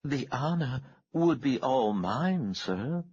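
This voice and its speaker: A man's voice: medium pitch, animated, takes it slowly, a little mellow, husky, no room sound, muffled recording, pitched above the performer's own voice. A man voicing a wild-eyed, simpering woman with a thick Southern US drawl.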